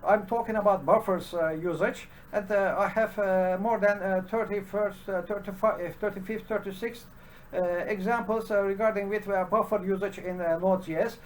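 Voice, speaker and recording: A man with a Turkish accent, a native Turkish speaker, giving an unscripted solo commentary for his programming channel, halting and full of "uh" fillers and restarts. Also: sits high, steady tone, a little hiss or hum.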